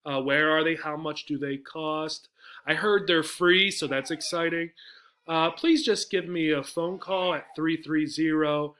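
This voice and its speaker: A man's voice: fairly high pitch, fairly even intonation, unhurried, a bit bright. A man speaking as an English teacher, explaining in a conversational way with frequent check-ins and acted-out example phrases. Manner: conversational, often checks in with "right?". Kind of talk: explanatory lesson with example phone messages.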